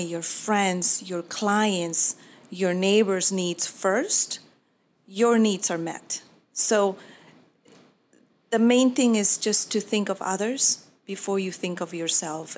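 A woman's voice: medium pitch, fairly even intonation, takes it slowly. A woman, giving earnest, reflective interview answers with frequent restarts. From Peru. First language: Spanish. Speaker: mortgage professional.

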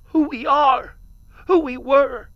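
A woman playing a quirky, agitated elderly maintenance supervisor in an audio drama, with a wheezy old voice and halting, rambling delivery. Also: sits high, no room sound, highs cut off. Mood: alarmed, conspiratorial. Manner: halting, rambling, exclamatory storytelling.

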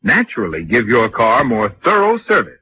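A man's voice: mid-range voice, wildly swooping pitch, normal speed. A man delivering a polished, persuasive sponsor announcement as a 1940s radio announcer. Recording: muffled, noisy 1940s radio recording.

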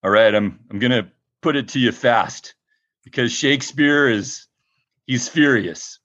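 A deep-voiced man improvising a screenwriter in a comedy scene, with a rambling, stumbling delivery. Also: unhurried, fairly bright tone, highs cut off.

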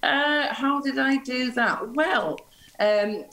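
A woman's voice: high voice, takes it slowly, fairly bright tone, rough recording. Woman, middle-aged, guest, reminiscing, casual chat. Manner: chatty, reminiscing. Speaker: guest, former dancer.

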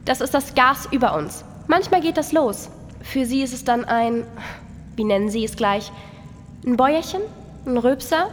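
An actress playing a bossy, impatient microorganism worker with a brusque, commanding manner in a satirical audio play. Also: female voice, sits high, lively intonation, moderate pace. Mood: explaining. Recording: long reverb, noisy ambience.

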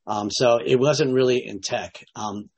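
A man in his late fifties, a company CEO, answering interview questions in a relaxed and hesitant way, with many restarts. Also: medium pitch, measured pace, creaky, husky, lo-fi sound. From United States.

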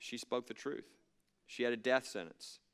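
A man's voice: mid-range voice, moderate pace, fairly bright tone, smooth. A man from a religious freedom legal group giving an earnest, emphatic speech to an audience, with frequent fillers.

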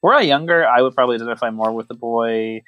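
A man's voice: medium pitch, quick pace, no echo, highs cut off. A man co-hosting a podcast, chatting casually about films in a wry, mock-serious way. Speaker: podcast host. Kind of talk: casual joking film chat.

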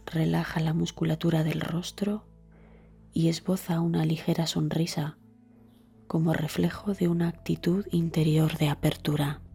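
Female narrator for guided meditation. Mellow, dark, husky and soft, calm scripted delivery with gentle trailing phrases. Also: low-pitched, moderate pace, faint music behind.

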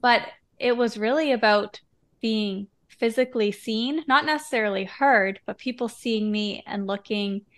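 A woman's voice: on the high side, measured pace, a bit bright. A woman hosting a podcast, chatting casually and candidly in a reflective, informal way. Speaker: podcast host. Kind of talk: casual conversational interview.

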